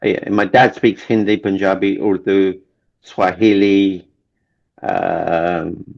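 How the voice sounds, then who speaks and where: A man's voice: low voice, flat delivery, slow, creaky, darkish tone. A man from Kenya, a relationship coach guest, speaking earnestly and repeating key phrases for emphasis. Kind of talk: motivational interview talk. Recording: dull lo-fi sound.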